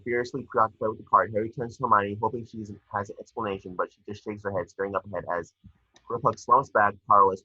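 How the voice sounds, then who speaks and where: A man's voice: on the low side, bright, forceful tone, slightly rough, dry recording, lo-fi sound. A man reading stage directions as narrator for a screenplay table read.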